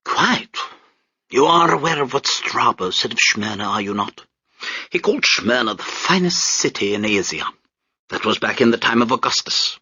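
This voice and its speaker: A man's voice: medium pitch, animated, normal speed, bright, forceful tone, slightly rough, no room sound, dull audio, voice pushed up, heavily acted. A man voicing a pompous upper-class marine major who speaks in a haughty, clipped drawl. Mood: lofty.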